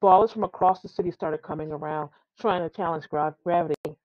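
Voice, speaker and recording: A woman's voice: low-pitched, fairly quick, no room sound, dull audio. A woman reading a children's tall tale aloud, expressively and with some stumbles over the words. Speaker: storybook reader.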